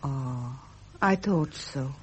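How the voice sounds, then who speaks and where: A breathy, plaintive young princess. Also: female voice, rumbling low, extremely slow, a little mellow, exaggerated voice. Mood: anxious. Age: young adult. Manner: tender, plaintive. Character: delicate, anxious young princess, doting new mother. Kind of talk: 1970s British radio drama acting.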